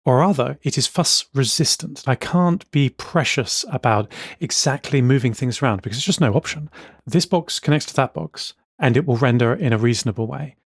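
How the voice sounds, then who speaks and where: A man's voice: medium pitch, vocal fry, slightly rough, dry recording. Dry-sounding man, casual podcast co-host. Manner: enthusiastic, explanatory, quick asides. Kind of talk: casual chat.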